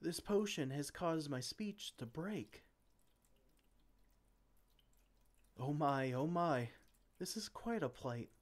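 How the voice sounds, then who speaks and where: A man's voice: on the high side, lively intonation, measured pace. A man playing a flustered, well-meaning potion-brewing mage in an ASMR roleplay, theatrical and exclamatory. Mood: dismayed. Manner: theatrical, exclamatory, speaks to a silent assistant.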